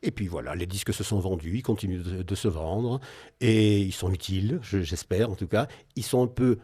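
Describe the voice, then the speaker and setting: A man's voice: low-pitched, normal speed, mellow, breathy edge. A man in his sixties, a guest on a radio interview, speaking reflectively with frequent hesitations. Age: 60s. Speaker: guest, singer and naturopath.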